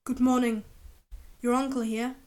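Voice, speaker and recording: A woman voicing a smooth-talking inquisitor. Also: a little high, flat delivery, natural voice. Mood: gentle, probing. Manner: gentle, measured, quietly menacing. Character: calm, menacing male army inquisitor, smiling.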